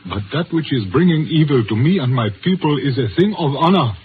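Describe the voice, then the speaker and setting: Male actor as dignified Chinese father, 1950s radio play. Measured, formal, higher register, tinny recording with faint noise. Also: expressive, natural voice. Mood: grave. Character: proud, solemn Chinese father and community elder, bound by honor. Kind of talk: 1950s radio drama acting.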